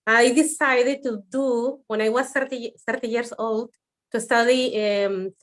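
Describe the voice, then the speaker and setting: Guest, woman, forties, Spanish accent, nervous and halting, on a video call with music underneath. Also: a little high, fairly even intonation. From Venezuela, raised in Madrid. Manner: hesitant, many fillers and restarts, a bit nervous. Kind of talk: online interview answer. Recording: music in the background.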